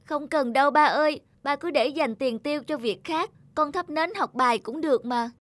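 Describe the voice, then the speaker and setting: A woman's voice: high-pitched, normal speed, no room sound, close to an everyday voice. A woman voicing an earnest, devoted young schoolboy in a scripted cartoon. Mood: eager.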